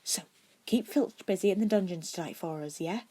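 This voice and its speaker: A woman voicing a cheeky, eager teenage prankster in an audiobook, informal and brisk in manner. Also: fairly low pitch, warm tone, breathy edge. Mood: conspiratorial.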